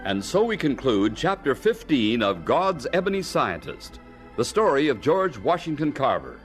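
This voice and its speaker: Male announcer, mid-pitched, lively scripted delivery over prominent organ music. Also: normal speed. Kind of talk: scripted radio narration. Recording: loud organ music behind.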